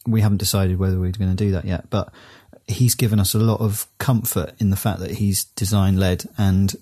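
Hesitant, low-voiced man. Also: little pitch movement, brisk, dark tone, dry recording, clean studio sound. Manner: rambling, hesitant with many ums and uhs. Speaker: podcast co-host. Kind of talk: casual chat.

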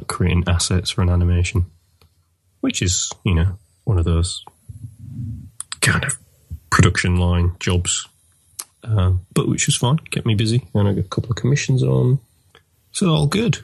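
A man with a low, gravelly and husky voice, croaky from a cold. He chats casually on a podcast and slips in dry, teasing jokes. The recording is dry and close. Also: measured pace, long pauses, no room sound, poor audio. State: croaky voice with a sniffle. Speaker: podcast co-host. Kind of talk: casual chat.

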